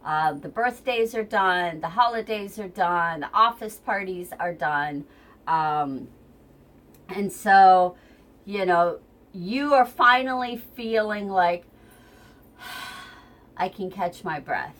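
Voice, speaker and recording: A woman's voice: medium pitch, takes it slowly, pauses often, fairly bright tone. Chatty stuffy-nosed woman reading tarot. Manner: chatty, rambling, many ums. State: stuffy, runny nose. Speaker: tarot reader. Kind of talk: casual weekly tarot reading. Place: at home near her kitchen. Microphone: camera on a tripod.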